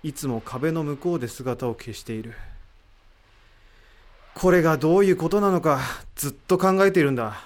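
A man acting a higher-voiced, thoughtful male sleuth. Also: animated, close to an everyday voice. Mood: pondering. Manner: deliberate, explanatory, rhetorical questioning. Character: thoughtful, analytical man reasoning out a mystery. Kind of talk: amateur voice drama acting.

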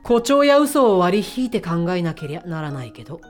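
A woman's voice: fairly low pitch, big pitch swings, a little fast, soft background music. Woman playing a flamboyant, self-assured man, swooping intonation. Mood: confident, conspiratorial. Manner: theatrical feminine phrasing, assertive. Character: self-assured, flamboyant man who talks in feminine speech. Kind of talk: amateur voice drama acting.

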